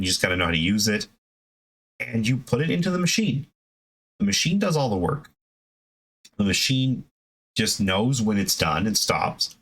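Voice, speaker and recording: A man hosting a hobby video, giving a casual, unscripted explanation in a conversational, halting way with self-corrections. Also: sits low, fairly bright tone.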